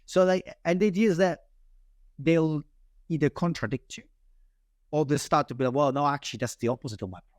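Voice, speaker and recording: A man's voice: a little high, no echo, clean studio sound. Italian-accented man explaining sales tactics. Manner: animated, talks fast with frequent restarts. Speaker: sales guest. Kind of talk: casual podcast interview.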